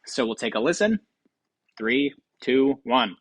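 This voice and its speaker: A man's voice: medium pitch, very animated, measured pace, no room sound. A man hosting a gaming video with casual commentary and tongue-in-cheek, mock-grandiose announcements.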